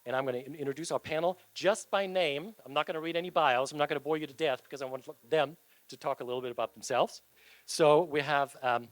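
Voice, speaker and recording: A man's voice: mid-range voice, no echo. A man moderating a live panel discussion at an event, upbeat and loose, joking with the audience between questions.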